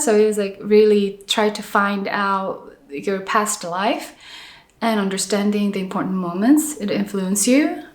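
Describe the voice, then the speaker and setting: A middle-aged Korean woman with a Korean accent, an architect talking about her life in an interview. She speaks in a halting, thoughtful way with many restarts and soft fillers. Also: mid-range voice, reverberant. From South Korea. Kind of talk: reflective interview conversation.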